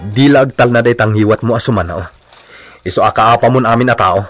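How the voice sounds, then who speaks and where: A man playing an irritable, fed-up husband and grown son in a radio drama, gruff and exasperated. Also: medium pitch, fairly quick, faint music behind, close to an everyday voice. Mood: annoyed.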